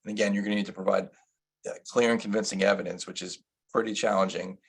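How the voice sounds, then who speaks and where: A male attorney with a low, gravelly voice and a husky, breathy edge, presenting over a video call. He explains step by step and steers the talk with questions to his co-presenter. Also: fairly even intonation, fast. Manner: explanatory, asks his colleague guiding questions. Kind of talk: legal webinar presentation.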